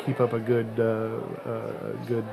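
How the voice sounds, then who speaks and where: A middle-aged man with a medium-pitched voice hosts a casual podcast. He talks in a halting way, feeling for the next word, with clear background chatter around him. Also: monotone, poor audio. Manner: halting, searching for words. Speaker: podcast host.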